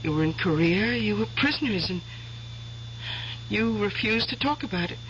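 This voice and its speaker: An actress in a 1970s radio drama playing a curious, chatty young pregnant wife. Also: female voice, medium pitch, expressive, moderate pace, close to an everyday voice. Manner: eager, chatty, inquisitive. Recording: muffled old radio recording with hiss.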